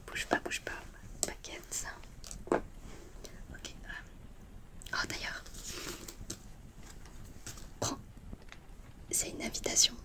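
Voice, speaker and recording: A woman playing a chatty, mischievous teenage witch student in a whispered ASMR roleplay, talking in a casual, confiding way. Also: close to an everyday voice. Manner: hushed, casual, slangy, confiding.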